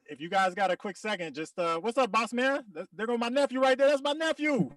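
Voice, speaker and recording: A man's voice: very high-pitched, a little fast, a bit bright, no echo. A man hosting a group video call, chatting casually and rapping. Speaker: host and rapper. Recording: distant video-call sound over music.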